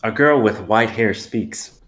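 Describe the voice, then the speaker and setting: A man's voice: lowish voice, slow, fairly bright tone. A man reading stage directions aloud in a live dramatic reading, plain and matter-of-fact.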